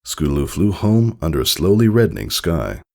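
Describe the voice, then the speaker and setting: Deep, creaky-voiced male storyteller. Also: very animated, brisk, darkish tone. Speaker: narrator reading fan fiction aloud. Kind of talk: expressive scripted story narration.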